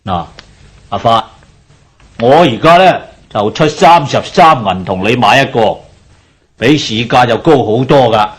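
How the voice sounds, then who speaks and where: An older creditor with a Hong Kong Cantonese accent and a middle-pitched voice. He nags and lectures like a man owed money, sighing and pressing each point in radio drama fashion. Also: expressive, measured pace, pauses often, husky. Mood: coaxing, pressing. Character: older male creditor, nagging and pushy.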